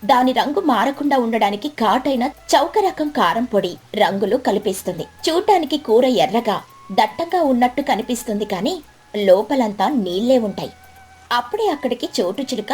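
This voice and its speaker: High, husky woman narrating a children's story. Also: a little mellow. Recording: background music bed.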